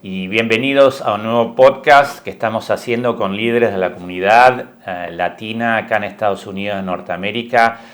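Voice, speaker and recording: A man's voice: on the low side, normal speed, hardly any pauses, fairly bright tone. A man hosting an interview podcast and asking questions in a polite, welcoming way. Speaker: podcast host and documentary director.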